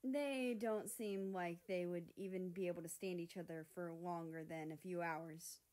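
A woman's voice: on the low side, fairly even intonation, moderate pace, darkish tone, lightly acted. A woman voicing a skeptical, impatient male character in a story, with a pointed, questioning manner. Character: skeptical, impatient male personified country, probing friend.

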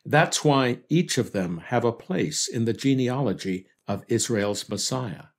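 A man hosting a devotional podcast, reading a scripted reflection on scripture in a measured, earnest way. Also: mid-range voice, expressive.

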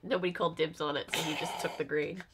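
A woman's voice: deep voice, fairly even intonation, quick pace, noisy background. A young woman chatting casually as she reacts to a TV episode. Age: young adult. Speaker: reaction show host. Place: small cosy room indoors.

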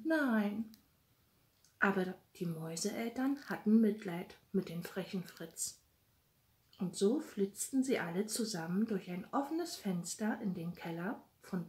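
A middle-aged woman gently reading a bedtime story for children, speaking softly and directly to her little listeners. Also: medium pitch. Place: small room at home. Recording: roomy, echoey home recording.

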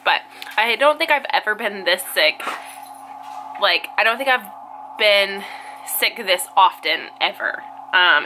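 A woman's voice: medium pitch, bright, forceful tone, a little hiss or hum, low-quality recording. A woman in her twenties with a cold and a nasal voice, chatting casually to a handheld camera at home as a family vlogger, full of fillers. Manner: chatty, filler-heavy, playful baby talk. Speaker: family vlogger, twin mom. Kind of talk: casual vlog chat to camera. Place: living room at home. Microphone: handheld camera close to face.

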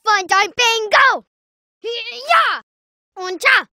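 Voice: extremely high pitch, drawn-out words, pushed and bright, no echo, studio quality, exaggerated voice. An actor voicing a feisty young male anime fighter for a video game, in short exclamatory battle cries. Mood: excited. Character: feisty young male cartoon fighter, boyish martial-arts hero.

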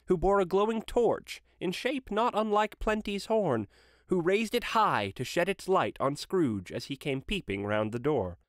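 A man narrating a classic story aloud in an expressive storytelling style. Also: sits high, no room sound, clean studio sound.

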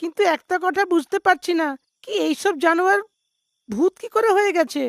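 A woman's voice: extremely high pitch, a little fast, no room sound, studio quality. A woman voicing an earnest young villager in a cartoon, determined and questioning. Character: earnest young villager protecting their people. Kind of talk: animated story dialogue.

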